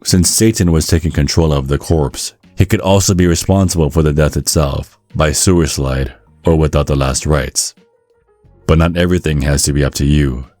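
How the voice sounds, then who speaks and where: A man reading scripted ASMR narration in a measured, storytelling manner. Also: very deep voice, steady tone, darkish tone, no room sound, faint music behind.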